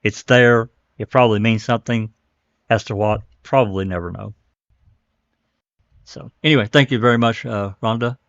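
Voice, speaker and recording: A man's voice: on the low side, steady tone, normal speed, pauses often, no room sound, muffled recording. A man hosting a casual online panel discussion, agreeing with guests and thanking each one. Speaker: podcast host.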